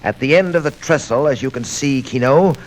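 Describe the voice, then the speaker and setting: An actor playing a sinister, commanding criminal mastermind in old radio style, with measured, formal, menacing speech. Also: male voice, mid-range voice, natural voice. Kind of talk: 1940s radio acting. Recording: noisy old radio record.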